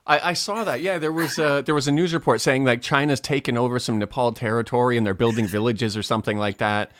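A man hosting a casual video call chat, talking in a relaxed, hesitant way with many restarts. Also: medium pitch, fast talker, no echo, clean studio sound. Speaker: host.

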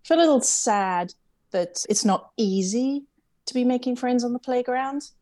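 A woman's voice: a little high, normal speed, warm tone. English woman, kinda mid-atlantic accent, middle-aged, chatty and reflective, interview guest. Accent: English, with some American influence. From England. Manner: chatty, reflective, laughs lightly at herself. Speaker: interview guest, camp CEO. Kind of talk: conversational interview.

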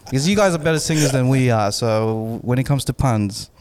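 A man's voice: mid-range voice, a little fast, darkish tone, smooth. Joking man co-hosting a podcast. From Australia. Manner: jokey, punning, laughs often. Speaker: podcast co-host. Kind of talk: casual podcast banter.

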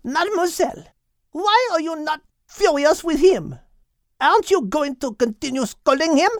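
A man's voice: very high voice, lively intonation, unhurried, dry recording, clean studio sound, voice pushed up. A man voicing an indignant, scolding hen. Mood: incensed. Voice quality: gruff.

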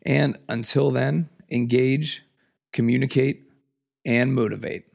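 A man hosting a solo podcast, raspy from a cold, talking in an earnest, emphatic, passionate way. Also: steady tone, takes it slowly, a little mellow. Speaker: podcast host. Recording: lower audio quality.

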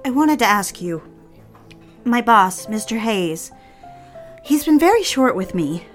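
An actress playing a dutiful young career woman in an audio drama, anxious and restrained with a quiet defiance. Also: fairly high pitch, sing-song, measured pace, faint music behind. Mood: earnest, anxious. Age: young adult. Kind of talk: scripted audio drama acting.

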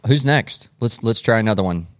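Low-voiced man, dry close podcast sound. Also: lively intonation, a little fast, dry recording. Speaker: podcast host. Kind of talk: casual comic banter.

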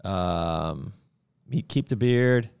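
A man's voice: deep voice, expressive, very slow, creaky, darkish tone, clear, resonant tone. Laid-back man hosting a casual stream. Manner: laughs often, playful. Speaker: co-host of a brand livestream. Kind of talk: casual livestream chat reading comments.

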